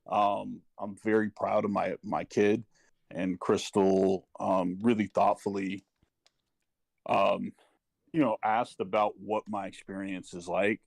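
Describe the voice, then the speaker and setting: A middle-aged man speaking personally and earnestly, haltingly searching for his words. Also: on the low side, little pitch movement, creaky, darkish tone, dry recording. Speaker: parent speaking as a panel guest. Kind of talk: personal testimony in casual talk.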